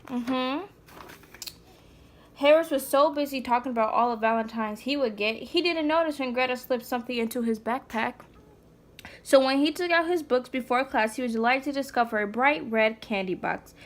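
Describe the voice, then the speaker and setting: A woman's voice: high-pitched, moderate pace. A woman reading a children's picture book aloud in an informal way, with chatty asides to a small child. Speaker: mother reading aloud to a child, vlog host. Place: indoors, sitting on the floor.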